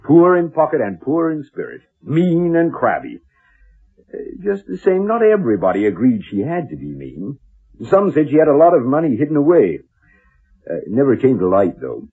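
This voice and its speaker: Raspy, folksy estate agent. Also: male voice, mid-range voice, wildly swooping pitch. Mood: gossipy. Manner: unhurried, chatty, folksy hedging. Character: folksy, gossipy male small-town estate agent. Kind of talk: old-time radio drama acting. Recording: muffled lo-fi old radio recording.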